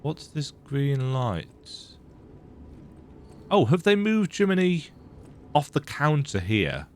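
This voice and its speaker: A man with a croaky voice giving casual, rambling, self-deprecating commentary while he plays a video game. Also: fairly high pitch, very animated, slow, a little hiss or hum. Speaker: let's play gamer.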